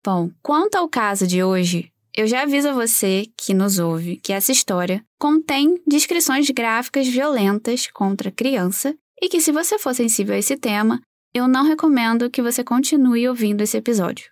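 Woman, Brazilian accent, fairly high voice, podcast host, conversational storytelling, dry close recording. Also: expressive, moderate pace, dry recording. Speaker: true crime podcast host.

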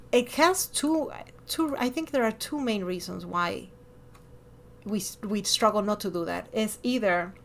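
A woman with a Latin American Spanish accent talking casually and expressively as a podcast host, with animated emphasis. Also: mid-range voice. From born in Miami, lived over a decade in Ecuador. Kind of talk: casual personal conversation.

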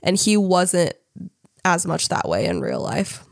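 A woman's voice: lowish voice, huge pitch swings, measured pace, vocal fry, no room sound, studio quality. A woman co-hosting a casual podcast, congested from a cold, reading out facts with frequent fillers and some hesitation over names. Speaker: podcast co-host.

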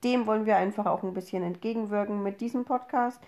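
A woman's voice: mid-range voice, fast talker, mellow. A woman of 32 from Upper Franconia in Germany, talking casually as a podcast co-host. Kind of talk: casual conversational podcast talk.

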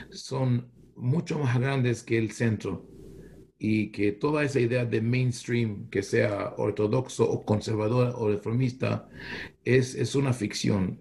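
A middle-aged man, a rabbi from Israel who is not a native speaker, answering an interview in a halting way with many restarts. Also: medium pitch, a little mellow, slight background noise. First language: not Spanish.

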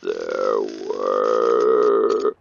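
A man voicing a dead husband's ghostly phone voice, speaking in a halting monotone through muffled sound. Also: rumbling low, vocal fry, slightly rough, pitched below the performer's own voice, heavily acted. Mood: eerie, halting.

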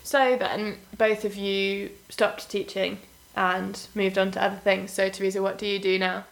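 Give me a woman hosting a relaxed podcast interview, asking questions and chiming in with quick reactions and laughter. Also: medium pitch, vocal fry, rough recording. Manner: warm backchanneling, frequent small reactions and laughs. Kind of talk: casual interview chat.

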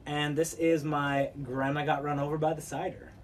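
A woman's voice: low voice, level tone, moderate pace. A woman recovering from bronchitis, co-hosting with casual, joking banter and the odd swear word. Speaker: co-host of a cocktail show. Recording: distant mic with background noise.

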